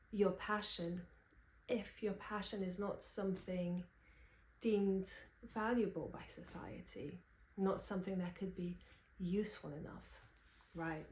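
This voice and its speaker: Slow-spoken young woman in a roomy space. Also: lowish voice, darkish tone. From Romania. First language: Romanian. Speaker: vlogger, singer-songwriter. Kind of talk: unscripted personal reflection. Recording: roomy, echoey sound.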